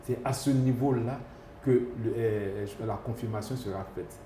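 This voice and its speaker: A man's voice: lowish voice, unhurried, mellow, a little hiss or hum. A man, a doctor answering interview questions, hesitant, with many fillers and restarts. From Côte d'Ivoire. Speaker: epidemiologist doctor. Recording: echoey room with faint ambience.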